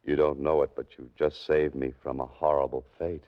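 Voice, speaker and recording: A cool, kindly writer-sleuth in a 1940s radio drama. His voice is extremely deep, his delivery wry and understated. The recording is muffled, like old radio. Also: level tone, normal speed, noisy background.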